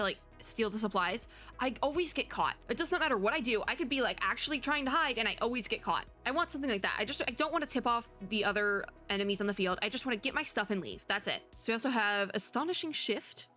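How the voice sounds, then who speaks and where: A woman with a bright voice chats casually as a game streamer. She reacts in an excitable, expressive way and rambles through her thoughts. Faint music plays behind her. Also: medium pitch. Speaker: streamer, VTuber.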